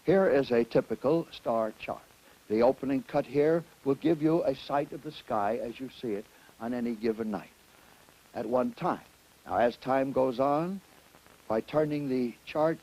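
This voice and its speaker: A man presenting an educational lecture to students in deliberate, emphatic, didactic phrasing. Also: medium pitch, warm tone, low-quality recording. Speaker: educational film presenter and astronomy teacher.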